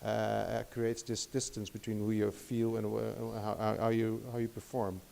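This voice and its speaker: Dutch-accented man lecturing, gravelly and mellow. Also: fairly low pitch, monotone, moderate pace, a little hiss or hum. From Netherlands. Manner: hesitant, many restarts and fillers. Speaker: guest lecturer. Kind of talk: academic lecture.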